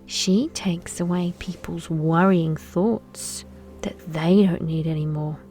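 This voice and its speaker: Soft, slow, breathy woman guiding sleep meditation. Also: mid-range voice. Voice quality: soft, breathy, half-whispered. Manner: soothing, gentle, lulling delivery with long pauses. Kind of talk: guided sleep meditation and bedtime story. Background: soft background music.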